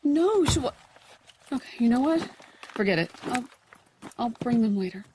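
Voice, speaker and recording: A middle-aged, worried mother played by a woman in an audio drama, soft-spoken and flustered. Her voice sits fairly high, with a faint hiss underneath. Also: animated, moderate pace, close to an everyday voice. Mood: anxious.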